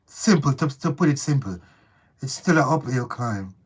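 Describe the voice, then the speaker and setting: A man whose voice has gone hoarse and strained since surgery left one vocal cord not working, chatting casually on a live stream and telling stories to viewers. Talking hurts him. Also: medium pitch, normal speed. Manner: relaxed storytelling, addresses viewers as guys. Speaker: co-host of family channel.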